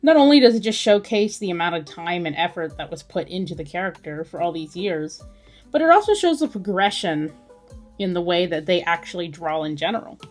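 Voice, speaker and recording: Woman, slightly low voice, casual drawing-stream chat, musing, thinking aloud, faint music behind. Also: lively intonation, a little fast. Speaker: artist hosting a drawing livestream.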